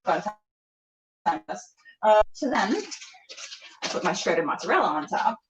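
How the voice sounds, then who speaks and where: A woman's voice: mid-range voice, sing-song, measured pace, slight background noise. A woman hosting a casual, chatty cooking show, rambling playfully and talking to herself as she goes. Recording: echoey room, distant mic, dull sound.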